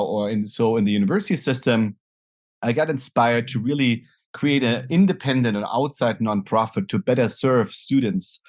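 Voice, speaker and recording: A man's voice: fairly low pitch, a little mellow. German-accented man, thoughtful guest. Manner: thoughtful, many "you know" fillers and restarts. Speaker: guest, nonprofit founder. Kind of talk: conversational interview.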